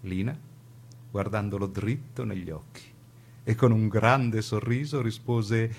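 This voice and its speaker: Low-pitched male narrator, theatrical phrasing. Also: a little slow, vocal fry, husky. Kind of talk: scripted dramatic documentary narration.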